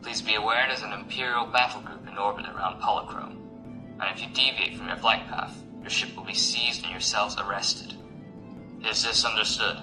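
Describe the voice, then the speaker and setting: An actor playing a stern, officious imperial navy officer with a clipped, authoritative manner in a science fiction audio drama. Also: male voice, lowish voice, fairly even intonation, moderate pace, close to an everyday voice, rough recording. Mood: stern, warning. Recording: music behind the voice.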